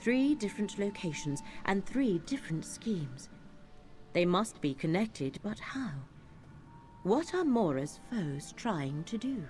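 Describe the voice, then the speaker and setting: A woman voicing a solemn, cryptic emissary in a fantasy video game, speaking in a formal, measured and deliberate way. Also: mid-range voice, sing-song, darkish tone, faint music behind. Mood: pondering. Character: solemn, cryptic female emissary of a lore-keeping power.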